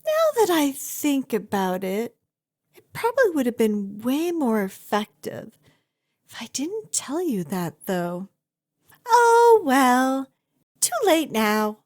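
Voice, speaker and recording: Woman acting a slow, lilting, doting yandere kidnapper. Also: high voice, huge pitch swings, darkish tone, full character voice. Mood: adoring, giddy. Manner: sweetly chatty, rambling, hesitant asides. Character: obsessive, lovestruck young woman kidnapper, doting and possessive. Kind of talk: ASMR roleplay addressed to the listener.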